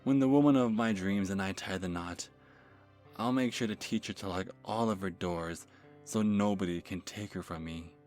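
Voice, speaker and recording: Man performing an obsessive male stalker, low-pitched, nervous halting delivery, creepy infatuation, ASMR horror storytelling, background music. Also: a little mellow, lightly acted. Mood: gleeful, possessive. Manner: halting, stammering, breathy sighs and self-corrections. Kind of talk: first-person horror story in ASMR manner.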